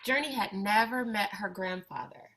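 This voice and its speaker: A woman reading a children's picture book aloud with deliberate, expressive phrasing. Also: mid-range voice, rough recording. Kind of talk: read-aloud storytelling for children.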